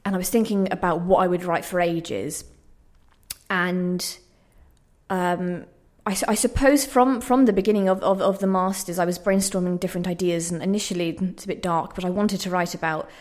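A woman's voice: lowish voice, steady tone, moderate pace. A young woman giving reflective interview answers in a hesitant, self-deprecating, thoughtful way. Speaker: playwright being interviewed.

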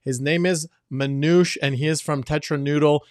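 Enthusiastic guy hosting a podcast, a bit high voice, super dry mic. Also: steady tone, normal speed, a little mellow, dry recording. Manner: upbeat, enthusiastic introductions. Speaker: podcast host. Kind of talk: podcast interview.

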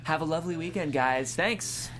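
A man's voice: on the high side, normal speed, over music. A man hosting a panel show in a casual, upbeat, informal way, with frequent filler "like". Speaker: host of a table-read vodcast.

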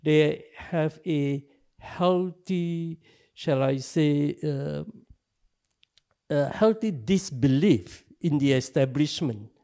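An older man from Singapore with a Singaporean English accent, giving slow, halting answers in an interview, full of filler pauses. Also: on the high side, animated, dark tone. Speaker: interview guest, activist.

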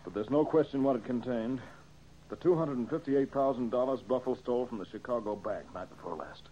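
An actor playing an earnest, urgent amateur detective in an old radio mystery, speaking with pressing concern. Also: male voice, medium pitch, fairly quick, natural voice. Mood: grave, concerned. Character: earnest, urgent male amateur detective protecting his friend. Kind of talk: 1940s radio mystery acting. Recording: muffled old radio, faint noise.

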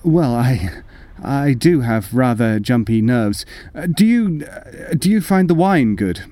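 A man's voice: mid-range voice, animated, takes it slowly, dark tone, dry recording. An actor playing a nervous, guilty murderer in an old-time radio horror play, stammering and overly polite as he hides his madness. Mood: nervously polite.

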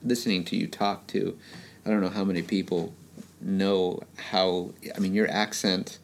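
A man's voice: low-pitched, fairly even intonation, normal speed, vocal fry, a little hiss or hum. A man interviewing a guest in a casual chat, enthusiastic and slangy, heaping on praise.

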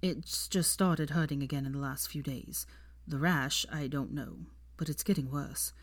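A woman voicing a sick, weary young man in an audiobook, hushed and understated, speaking haltingly. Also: dry recording. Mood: grim, resigned. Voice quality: hushed, low, subdued.

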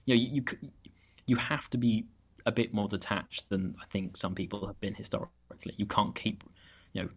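Low-voiced British man, dull lo-fi sound. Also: fairly quick, breathy edge. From Britain. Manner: opinionated, with frequent restarts and repeated words. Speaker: podcast guest, football analyst. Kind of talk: casual podcast discussion.

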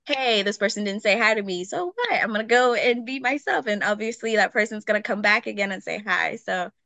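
A woman's voice: medium pitch, brisk, gravelly, sharp tone, dry recording. A woman in her twenties, originally from Nigeria, chatting as a podcast guest in a loose, talkative way full of "like" fillers and frequent laughter. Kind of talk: casual chat.

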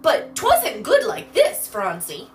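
A woman's voice: high-pitched, wildly swooping pitch, slow, a little mellow, soft background music, pitched above the performer's own voice, heavily acted. A woman voicing a caring, bubbly little girl in a storybook, with eager, exclamatory, folksy old-fashioned speech. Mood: animated, playful. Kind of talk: storybook character voice.

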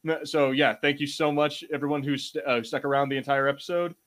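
A man's voice: a little high, fairly even intonation, brisk, fairly bright tone. A man hosting a podcast in casual, enthusiastic, informal fan chat. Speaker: podcast host.

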